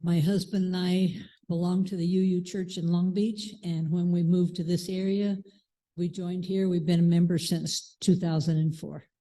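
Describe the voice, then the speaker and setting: A woman's voice: on the low side, level tone, moderate pace, warm tone. A woman church member introducing herself plainly and in a friendly way. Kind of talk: short self-introduction.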